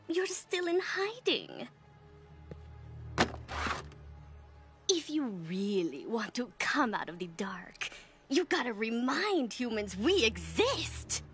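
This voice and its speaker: A woman playing a scornful teenage zombie villainess in a cartoon, with a mocking, theatrical, drawn-out delivery. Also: on the high side, sing-song, music in the background, full character voice. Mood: taunting. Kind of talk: animated cartoon acting.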